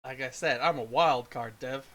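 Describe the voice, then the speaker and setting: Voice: medium pitch, moderate pace, a bit bright. A podcast host chatting casually about films on a livestream, in a teasing, bossy way.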